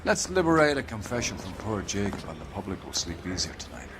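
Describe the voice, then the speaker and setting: Pompous, domineering police captain. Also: male voice, low-pitched, huge pitch swings, quick pace, background noise. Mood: smug persuasion. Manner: pompous, patronizing. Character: domineering, sly older police captain, falsely genial. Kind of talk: video game crime drama acting.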